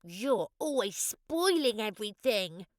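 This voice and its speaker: A woman voicing excitable, bossy young dinosaurs in a children's storybook, calling out loudly like children. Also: medium pitch, slow pace, no echo, clean studio sound. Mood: bossy, cross.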